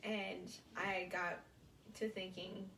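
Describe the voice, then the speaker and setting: Student presenter: young American woman, halting short phrases, creaky voice, roomy sound with faint noise. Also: medium pitch, flat delivery, a bit bright, distant mic. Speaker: college student giving a speech. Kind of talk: prepared informative classroom speech.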